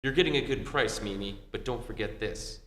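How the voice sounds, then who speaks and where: An actor playing a shrewd, wisecracking detective who explains things drily in an old-time radio play. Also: male voice, mid-range voice, a bit bright, lightly acted. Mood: pressing. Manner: deliberate explaining, dry wisecracks. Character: shrewd, wisecracking male detective unmasking a killer. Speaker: amateur stage actor. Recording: echoey hall with faint hum.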